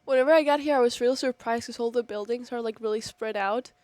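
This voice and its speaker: A woman's voice: a little high, fast, darkish tone, dry recording. A teenage young woman from Spain, chatting casually in an interview. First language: Spanish. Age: teens. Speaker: exchange student being interviewed.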